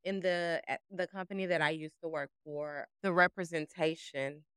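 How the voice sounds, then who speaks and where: Candid woman with a smooth voice. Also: low voice, fairly even intonation, moderate pace, fairly bright tone, no room sound, clean studio sound. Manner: candid, storytelling with quoted dialogue. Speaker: podcast co-host. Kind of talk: casual conversation.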